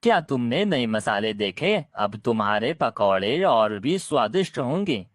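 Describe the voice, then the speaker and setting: Friendly cartoon parrot, male, played by a woman, very deep, swooping intonation, earnest. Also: very animated, unhurried, no echo, studio quality, exaggerated voice. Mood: encouraging. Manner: encouraging, earnest. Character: friendly, encouraging male parrot, a village bird. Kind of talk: cartoon moral story dialogue.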